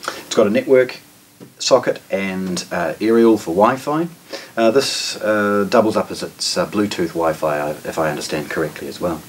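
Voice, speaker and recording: A man's voice: deep voice, moderate pace. A man in his forties with a New Zealand accent presents a product walkthrough in an unscripted, matter-of-fact way. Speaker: shop presenter. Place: indoor audio showroom. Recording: distant mic with background noise.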